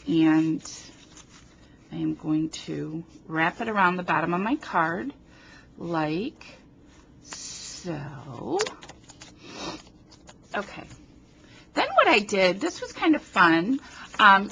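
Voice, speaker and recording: A woman from New Jersey talks through a card-making project at a slow, unhurried pace, chatty and quick to poke fun at herself. She sniffles now and then from a runny nose. Her voice is picked up by a camera on a tripod over a craft table, with a faint hiss behind it. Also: fairly low pitch, vocal fry, fairly bright tone. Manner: chatty, self-deprecating, talks while working. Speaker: card-making tutorial host. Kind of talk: casual craft demonstration. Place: home craft table, indoors. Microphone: camera on tripod above the table.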